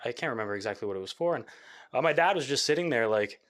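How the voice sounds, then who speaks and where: A young man, a musician from Canada, talking casually in an interview, rambling with lots of fillers and quick laughs. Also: mid-range voice, lively intonation, a bit bright. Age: young adult. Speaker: musician guest.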